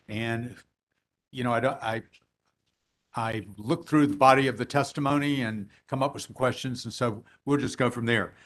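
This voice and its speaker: Loud man asking hesitant questions. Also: medium pitch. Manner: hesitant, many fillers, loud voice. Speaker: intervener attorney cross-examining. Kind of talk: hearing cross-examination.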